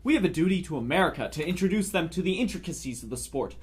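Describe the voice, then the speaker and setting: Man playing an exasperated, high-pitched husky sportscaster. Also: expressive, fast talker. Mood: mock-diplomatic. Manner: protesting, mock-diplomatic. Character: exasperated male sportscaster caught between squabbling exes. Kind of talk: theatrical comedic table reading.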